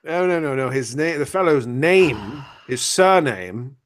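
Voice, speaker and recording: A man playing an excitable, self-assured gentleman detective in comic old-time radio style, theatrical and emphatic. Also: mid-range voice, measured pace, lightly acted. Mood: urgent, exasperated.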